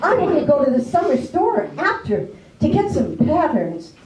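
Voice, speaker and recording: A woman's voice: sits high, big pitch swings, moderate pace, a little mellow, slight background noise. A woman playing a plain-spoken, bossy small-town American farm wife with a folksy, no-nonsense way of talking, in a readers theater play. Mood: insistent. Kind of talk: readers theater stage acting. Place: live play in a library. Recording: echoey room, distant microphone.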